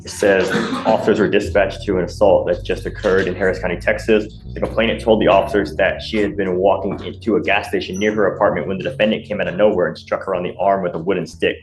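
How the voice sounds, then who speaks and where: A man speaks as a prosecutor in formal courtroom style. His voice is low and he moves through the material quickly. The sound is roomy and echoing. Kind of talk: formal courtroom reading of charges. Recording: roomy, echoing courtroom audio, music underneath.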